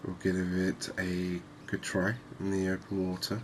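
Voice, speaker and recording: A man giving unhurried, murmured ASMR commentary as he hosts a fishing game series. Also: sits low, completely flat delivery, slight background noise. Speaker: host of a gaming series.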